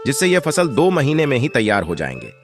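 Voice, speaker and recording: A man's voice: mid-range voice, wildly swooping pitch, brisk, music in the background. A man voicing a friendly, persuasive bird shopkeeper in a children's cartoon. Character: friendly male kite shopkeeper selling seed.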